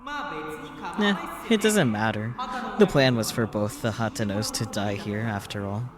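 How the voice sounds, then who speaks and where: A man's voice: medium pitch, a little slow, a crowd in the background, natural voice. A man voicing a flippant, mocking gunman villain in a visual novel. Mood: dismissive. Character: taunting, cocky male gunman villain.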